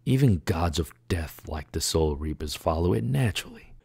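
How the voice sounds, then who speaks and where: A man's voice: sits low, lively intonation, moderate pace, gravelly, a little mellow, breathy edge, no room sound, clean studio sound, full character voice. A man voicing a suave, coolly detached philosophical character in an anime fan dub, formal and musing. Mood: serenely musing.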